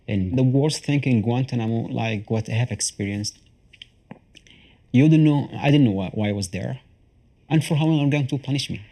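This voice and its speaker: Creaky-voiced man, halting English. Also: mid-range voice, dark tone. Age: 30s. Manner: halting, plain non-native English with repeated phrases. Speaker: former detainee being interviewed. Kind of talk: candid interview.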